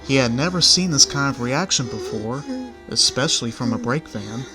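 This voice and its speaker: A man with a middle-pitched voice works as the narrator of a children's tale, reading from a script. Music plays clearly behind him. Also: lively intonation, moderate pace. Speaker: story narrator. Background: background music.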